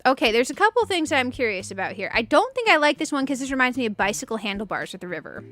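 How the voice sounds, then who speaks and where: A woman in her late thirties with a high voice that sounds younger than her age. She rambles in a chatty, giggly way, as a streamer talking to viewers during a game. Music plays behind her. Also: sing-song, quick pace, fairly bright tone. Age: late 30s. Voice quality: youthful-sounding voice. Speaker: streamer and video game host. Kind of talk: casual livestream chat over video game play.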